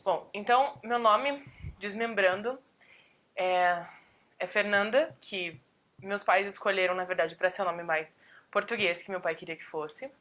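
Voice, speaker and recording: A woman's voice: mid-range voice, measured pace. A woman speaking in a casual interview, thoughtful and explanatory.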